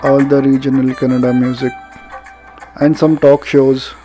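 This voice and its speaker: A man with an Indian accent giving a casual, halting product demonstration as a radio reviewer. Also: mid-range voice, little pitch movement, dark tone. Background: radio music playing.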